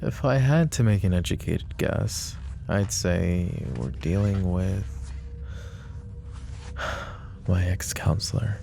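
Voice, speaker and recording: Man voicing a gravelly, possessive mafia boss. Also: exaggerated voice. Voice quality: deep, gravelly voice. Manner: low, measured, quietly menacing. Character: possessive, protective adult male mafia boss. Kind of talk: ASMR roleplay audio drama.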